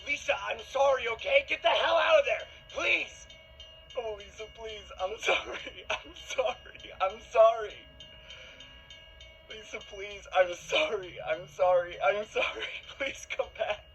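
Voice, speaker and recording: A man playing a desperate young boyfriend in a story, crying out and pleading frantically in a strained high voice. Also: a little slow, fairly bright tone, highs cut off, faint music behind.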